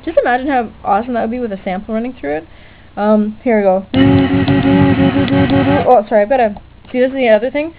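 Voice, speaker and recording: A woman's voice: on the high side, quick pace, long pauses, loud music behind the voice. A woman giving a casual, unscripted hobby demonstration, chatty and hesitant, often correcting herself as she goes. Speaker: DIY electronics hobbyist presenter. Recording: tinny lo-fi webcam-era audio, background noise.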